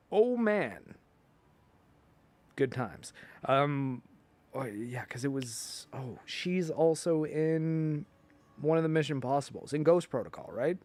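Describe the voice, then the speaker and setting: A man's voice: fairly high pitch, no room sound. A man hosting a livestream, chatting casually in a rambling, joking way and sometimes trailing off into muttered asides. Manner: animated, joking, often breaking into chuckles. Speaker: livestream host. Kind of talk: casual rambling chat to stream viewers.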